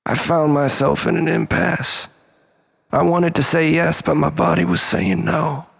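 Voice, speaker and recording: Slow husky man in long reverb. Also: fairly high pitch, a bit bright. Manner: rambling, melodramatic, mock-philosophical. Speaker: storyteller. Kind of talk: dramatic comic monologue storytelling. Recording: long reverberant hall echo.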